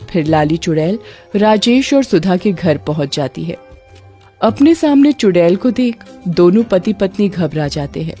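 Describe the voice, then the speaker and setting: A woman's voice: lowish voice, animated, unhurried, warm tone. Woman narrator, scripted storytelling, music underneath.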